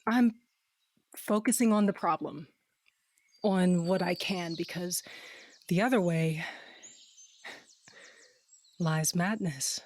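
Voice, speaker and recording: A practical, level-headed wife on a quest, played by a woman in a fantasy audio drama. Her delivery is slow and deadpan, with dry humour. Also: fairly low pitch. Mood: calm, deadpan.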